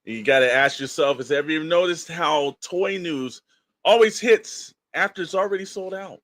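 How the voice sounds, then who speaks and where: A man's voice: on the high side, normal speed, a bit bright, no room sound. A man co-hosting a podcast in casual chat, colloquial and emphatic as he addresses listeners. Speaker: podcast co-host.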